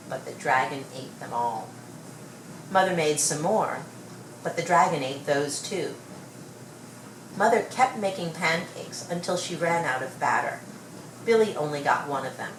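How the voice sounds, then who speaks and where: A woman reading a children's story aloud with expressive, measured storytelling. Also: low voice. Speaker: storybook reader. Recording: roomy, distant mic, faint noise.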